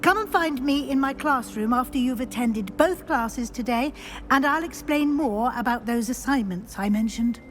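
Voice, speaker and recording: A woman playing a shrewd witch professor in a video game, speaking in a brisk, formal, articulate and gently admonishing way. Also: sits high, slight background noise, close to an everyday voice. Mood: businesslike. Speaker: teacher. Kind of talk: scripted video game acting. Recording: music behind the voice. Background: background music.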